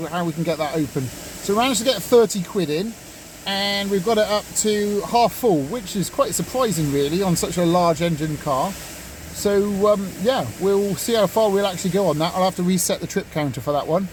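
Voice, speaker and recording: Man, high-pitched voice, casual vlogger chat, faint vehicle and ambient noise. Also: low-quality recording. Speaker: car vlogger.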